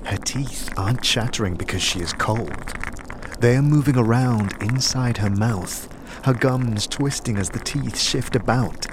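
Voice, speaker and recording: A man's voice: medium pitch, a little slow, noisy background. A man giving dramatic scripted narration as the storyteller of an audio drama. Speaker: narrator and game master-style storyteller, also voicing the hero.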